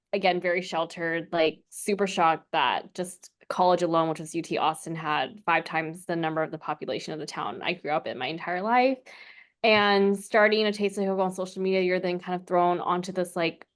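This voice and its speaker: Female guest, American accent, medium pitch, informal and conversational delivery. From South Texas, United States. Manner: informal, frequent "like". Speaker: interview guest, content creator. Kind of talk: casual conversational interview.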